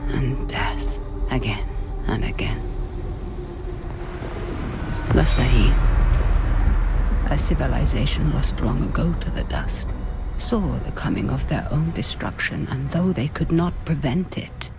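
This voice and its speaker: A grave female storyteller opens a game cinematic over loud music. Her delivery is very slow and low-lit with creak, slipping into breathy hush. Every phrase is measured and portentous, like scripted legend. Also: expressive, heavily acted. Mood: solemn, hushed. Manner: solemn, measured, hushed, portentous. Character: solemn female narrator telling an ancient legend.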